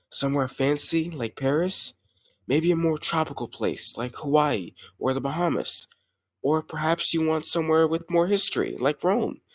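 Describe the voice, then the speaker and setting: A man's voice: medium pitch, no echo, studio quality, natural voice. A man playing a confident, doting mafia boss boyfriend in an intimate roleplay audio, speaking affectionately and directly to a lover. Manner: affectionate, self-assured, addressing a lover directly. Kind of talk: intimate roleplay audio, scripted romantic monologue.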